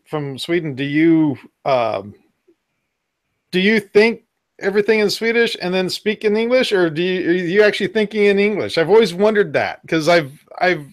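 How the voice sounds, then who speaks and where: Host, man, slightly high voice, curious and chatty. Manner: curious, asks questions, reads chat comments. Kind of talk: casual chat.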